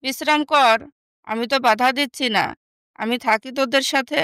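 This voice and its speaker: A woman playing a neglected, soft-hearted young stepsister in an animated moral story, meek and pleading. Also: high-pitched, moderate pace, smooth, no room sound, studio quality. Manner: pleading, submissive.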